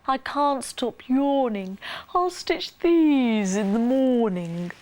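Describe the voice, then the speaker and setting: A woman voicing a poor, humble shoemaker in a children's bedtime story, expressive and full of wonder. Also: on the high side, takes it slowly. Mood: weary.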